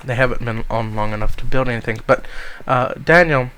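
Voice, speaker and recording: A man with a cold giving a casual, rambling tour of a game world, speaking softly to spare his voice. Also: medium pitch, fairly quick, clean tone, rough recording. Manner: rambling, many ums, soft-spoken to spare his voice. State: has a cold. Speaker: gamer giving a server tour.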